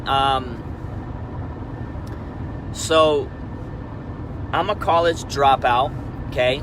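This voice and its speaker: A man's voice: medium pitch, noisy background. A man in his thirties, originally from Peru, giving a candid, rambling motivational talk on a live stream about network marketing, often checking in with "right?". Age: 30s. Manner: candid, rambling, frequent restarts and "right?" asides.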